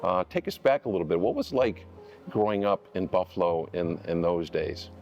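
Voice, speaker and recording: Male host of a local TV program, low voice, friendly and earnest interviewer. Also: animated, normal speed, a little mellow, lo-fi sound, faint music behind. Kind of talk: TV interview hosting.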